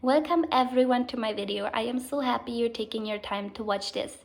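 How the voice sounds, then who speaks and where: Woman with a gravelly edge. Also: on the high side, a little fast. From Austria. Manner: earnest, explanatory, with small restarts. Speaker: health practitioner. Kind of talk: informal talk to camera on women's health.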